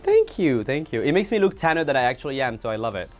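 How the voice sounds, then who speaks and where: A man's voice: medium pitch, very animated, fast talker, a little mellow, faint music behind. A man appearing as a guest on a casual chat show, speaking in an earnest, thoughtful way. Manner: earnest, thoughtful, laughs easily. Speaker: guest, drag performer.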